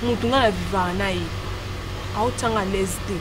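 A woman's voice: medium pitch, moderate pace, very noisy, natural voice. An actress playing a lovelorn, stubborn young woman confiding in a friend, with a Congolese accent, in filmed theatre dialogue. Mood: troubled, complaining. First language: Lingala. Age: young adult. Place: outdoors in a walled garden.

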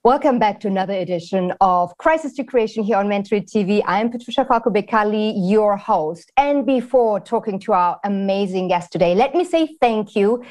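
A woman's voice: medium pitch, animated, fairly quick, hardly any pauses, darkish tone, faint music behind. Bubbly woman hosting an interview. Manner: bubbly, laughs often. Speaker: host. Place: plain-walled indoor room.

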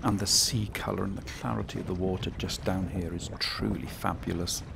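A man in his sixties filming himself aboard a small open sailing boat on an estuary. He talks in a relaxed, chatty, self-mocking way, with many fillers. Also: deep voice, brisk. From England. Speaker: sailing vlogger. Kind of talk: casual vlog narration. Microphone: action camera mounted on the boat. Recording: clear wind and water noise.

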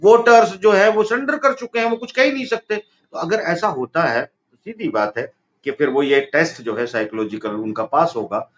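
A middle-aged man arguing politics on a video call from an office, forceful and rising to emphatic peaks. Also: on the high side, moderate pace. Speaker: political commentator. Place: office room, indoors. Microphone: video call webcam. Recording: dull lo-fi call audio with faint noise.

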